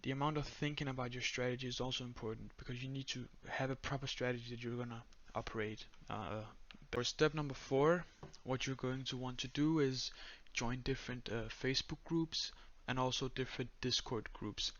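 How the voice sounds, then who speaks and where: Monotone Danish-accented young man. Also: mid-range voice, brisk, hardly any pauses, highs cut off. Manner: monotone, many fillers. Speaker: tutorial creator. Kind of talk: screen-recorded tutorial.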